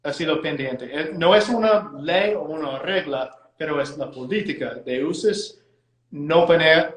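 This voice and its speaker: An American man with English as his first language speaks Spanish with care, often halting and repeating himself as he explains legal points. His voice sits a bit high for a man. The sound carries an echoey room. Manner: hesitant, repeats words, careful phrasing. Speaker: immigration lawyer, guest. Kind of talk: live interview, explaining law.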